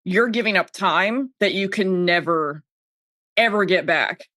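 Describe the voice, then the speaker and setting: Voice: mid-range voice, a little slow, pushed and bright, no room sound, studio quality. A podcaster in their thirties talking casually and candidly, animatedly voicing their own thoughts as quoted self-talk.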